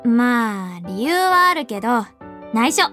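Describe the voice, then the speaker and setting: Earnest, persistent high school girl played by a woman, high-pitched and direct, radio drama co-lead over faint music. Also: sing-song, takes it slowly, pushed and bright, full character voice. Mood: playful. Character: earnest, persistent teenage schoolgirl learning ballet. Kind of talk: radio drama dialogue and narration.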